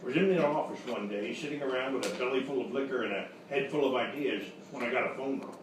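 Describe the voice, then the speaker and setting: A man's voice: medium pitch, fast talker, lightly acted. Man playing a hard-boiled detective, heavily echoing. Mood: deadpan narrating. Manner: deadpan, sardonic. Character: hard-boiled male private eye, wisecracking, boozy, down-on-luck. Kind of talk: noir radio detective parody. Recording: very echoey, distant, noisy live stage.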